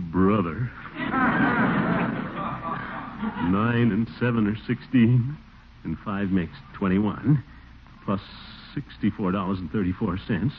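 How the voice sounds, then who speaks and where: Blustery uncle, tinny old radio. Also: male voice, on the low side. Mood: dismayed. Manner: fussy, exclaiming, chuckling hesitations. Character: blustery, flustered middle-aged uncle, pompous but soft-hearted. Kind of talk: 1940s radio comedy acting. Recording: tinny, noisy 1940s radio recording.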